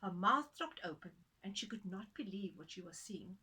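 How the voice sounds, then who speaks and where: A woman's voice: mid-range voice, lively intonation, normal speed, sharp tone, breathy edge, rough recording. A woman storyteller narrating a folktale for children, dramatic and playful in her delivery.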